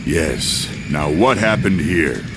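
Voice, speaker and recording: A man playing a gruff, blunt Greek warrior in a dramatic game cutscene. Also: rumbling low, expressive, slow, background noise, exaggerated voice.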